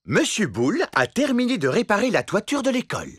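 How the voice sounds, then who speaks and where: An actor playing a cheerful storybook narrator in scripted narration for a children's cartoon. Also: male voice, sits high, huge pitch swings, a little fast, noisy background.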